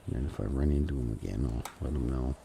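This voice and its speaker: A man's voice: very deep voice, fast talker, gravelly, background noise. A man streaming a game, muttering to himself with a stuffy nose from a cold. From Canada. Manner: mumbling, talks to himself and the game. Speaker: gaming streamer. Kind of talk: casual solo game commentary.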